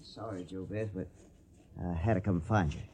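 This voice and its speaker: A man's voice: deep voice, steady tone, normal speed, darkish tone. An actor playing a reserved, dutiful, brotherly young man, in a radio drama. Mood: reserved, urgent. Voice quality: husky.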